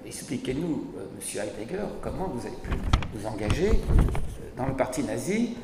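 Hoarse older man in an echoey hall. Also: mid-range voice, moderate pace, very noisy. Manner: ironic, animated, gestures while speaking. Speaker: speaker at a public debate. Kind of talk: lively public talk on philosophy. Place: large hall, seated at a panel table. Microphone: desk mic on a stand.

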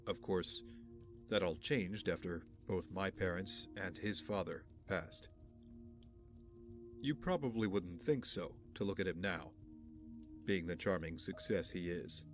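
A man playing an aloof, wry young billionaire in an audio drama, speaking in a dry, measured, haughty way. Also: low-pitched, over music. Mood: reflective, wry.

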